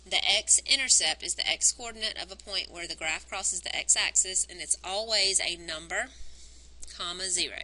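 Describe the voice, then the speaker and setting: A woman teacher recording a math lesson on a wired mic, explaining each step and counting points aloud, her voice worn and going hoarse. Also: lowish voice, bright, forceful tone, slight background noise, poor audio. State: losing her voice. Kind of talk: recorded math lesson, working through graphs. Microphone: wired microphone on a cord.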